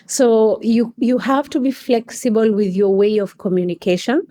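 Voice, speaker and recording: A woman's voice: fairly high pitch, moderate pace, warm tone. A woman from Kenya with a Kenyan accent, explaining things articulately in a conversational interview as a guest. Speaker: podcast guest, coffee company staff member.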